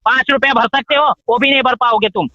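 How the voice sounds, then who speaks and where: A man's voice: very high voice, fairly even intonation, fairly quick, sharp tone, dry recording. A man working as a loan recovery agent, scolding and hectoring a borrower over a phone call, repeating his demands. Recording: muffled phone call recording.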